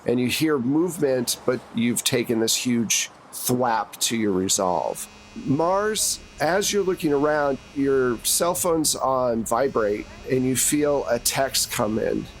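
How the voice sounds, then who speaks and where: A man's voice: mid-range voice, lively intonation, slow, a little hiss or hum, poor audio. A man narrating a tabletop roleplaying game as game master, telling the story conversationally and speaking straight to the players.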